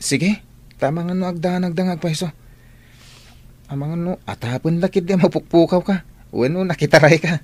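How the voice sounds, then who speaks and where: A man's voice: on the high side, normal speed, pauses often, close to an everyday voice. A man playing a frank, exclamatory and encouraging school friend in an Ilocano radio drama. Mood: brisk, teasing.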